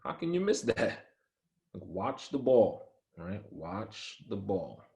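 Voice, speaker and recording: Man playing proud low-voiced baseball-star father. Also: big pitch swings, slow, creaky, darkish tone, full character voice. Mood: insistent, frustrated. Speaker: actor in a screenplay table read. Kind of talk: dramatic table read.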